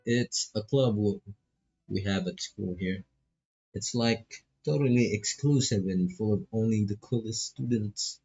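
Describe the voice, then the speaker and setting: A man's voice: fairly low pitch, unhurried, a little mellow, husky, highs cut off. A man with a Filipino accent commentating a visual novel as he plays, casually reading dialogue aloud with playful asides. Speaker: streamer playing a visual novel. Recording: music behind the voice.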